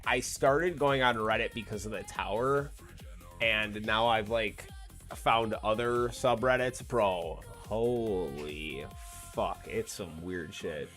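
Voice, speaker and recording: A man's voice: fairly low pitch, slow pace, a bit bright, soft background music. A man with a slightly nasal voice chatting casually with viewers while he plays a game, swearing freely. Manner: laid-back, swears freely, talks to chat. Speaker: gaming streamer. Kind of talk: casual live-stream chat while playing.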